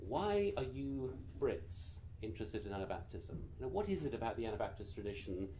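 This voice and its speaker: Soft-spoken British man giving a talk. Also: mid-range voice, very animated, a little hiss or hum. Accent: British English. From Britain. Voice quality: naturally quiet voice. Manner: gentle, measured, with frequent hesitations. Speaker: guest speaker. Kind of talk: informal talk to a seated group.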